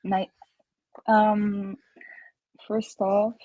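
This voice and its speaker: A woman's voice: medium pitch, level tone, drawn-out words, background noise. A young woman from Colombia, a native Spanish speaker with a Colombian accent, telling her story as a caller on a live stream, hesitant with many ums. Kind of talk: casual storytelling.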